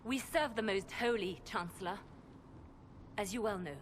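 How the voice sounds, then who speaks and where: Female actor as firm religious agent, composed, mid-pitched, game dialogue. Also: normal speed, slight background noise, close to an everyday voice. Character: composed woman agent of a holy order, firm. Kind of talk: video game voice acting.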